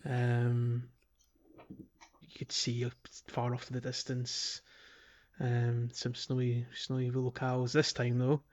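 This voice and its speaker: A man's voice: medium pitch, monotone, takes it slowly, a little mellow, highs cut off. A Scottish man chatting informally and enthusiastically as a podcast host. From Scotland. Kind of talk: casual podcast chat.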